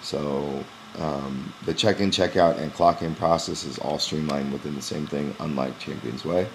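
A young man who runs a gym, walking through a software screen recording in a casual, explanatory way with frequent "um" pauses, recorded on a webcam at his desk. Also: very deep voice, steady tone, a little hiss or hum. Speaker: gym owner demonstrating software. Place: small indoor room at a computer. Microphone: webcam in front of the face.

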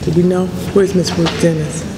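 A middle-aged woman speaking as a college president to an audience, with emphatic, deliberate delivery. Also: on the low side, flat delivery. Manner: emphatic, deliberate oratory with repeated phrases. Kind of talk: inspirational address and audience Q&A. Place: large chapel, indoors. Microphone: podium microphone. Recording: echoey hall, faint noise and crowd.

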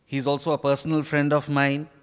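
A man in his fifties with an Indian accent gives a formal prepared speech in halting, deliberate short phrases. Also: on the high side, monotone, clean tone. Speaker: author addressing an event. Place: stage in a large hotel ballroom. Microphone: handheld microphone. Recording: reverberant hall, live stage microphone.

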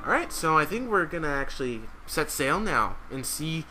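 A man's voice: a little high, very animated, moderate pace. A young man giving casual let's play commentary as host of a gaming video. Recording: loud game music behind. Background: video game music.

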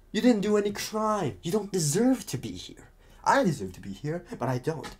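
A man playing a talkative, restless psychopath convict in an ASMR roleplay, protective and scheming, with halting, muttered asides. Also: a little high, slight background noise.

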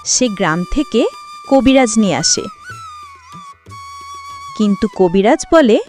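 Animated woman telling a fairy tale. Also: a little high, slow, soft background music. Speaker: storyteller. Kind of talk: scripted children's fairy tale narration.

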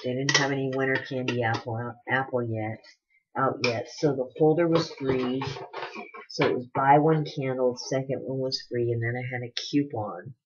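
A woman's voice: a bass voice, fairly even intonation, moderate pace. A woman in her forties chatting casually in her living room, gushing over products and rambling between them. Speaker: beauty vlogger. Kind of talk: casual product haul chat. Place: living room at home, indoors. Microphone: webcam at desk distance. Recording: dull lo-fi webcam audio with noise.

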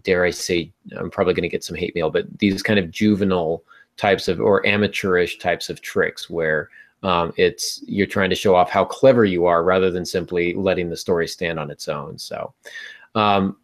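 Middle-aged man, fairly low pitch, casual podcast host, informal, joking asides, video call. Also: fairly even intonation, normal speed. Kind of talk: casual hosting of a discussion. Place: home office indoors, video call.

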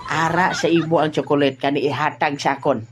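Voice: mid-range voice, fairly even intonation, brisk, lightly acted. A performer voicing a teasing, boastful old village grandpa in a comic audio drama, chatty and exclamatory. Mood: insistent. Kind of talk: amateur comedy audio drama dialogue. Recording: faint background noise and ambience.

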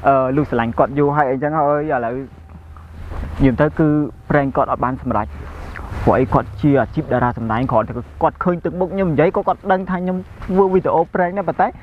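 A man's voice: a little high, fairly quick, dull audio. A young man chatting casually and jokily, as host of a prank-call video, outdoors in a yard. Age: young adult. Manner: jokey, laughs often. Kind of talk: casual chat on a phone call and to camera. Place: outdoors in a grassy rural yard. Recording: steady outdoor background noise.

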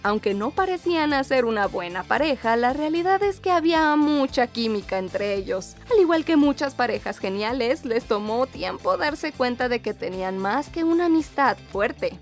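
A woman with a Latin American Spanish accent reading a scripted countdown narration. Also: fairly high pitch. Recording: music behind the voice. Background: background music.

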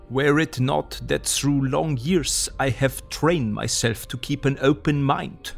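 An actor playing a grave, courteous foreign professor who hunts vampires, speaking formally and solemnly in an audio drama. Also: male voice, mid-range voice, creaky. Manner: formal, solemn, quaintly phrased.

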